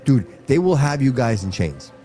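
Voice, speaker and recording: A man's voice: mid-range voice, big pitch swings, normal speed, mellow. A man whose first language is Portuguese, talking emphatically in a casual podcast chat, often calling his listener dude or bro. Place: live podcast broadcast.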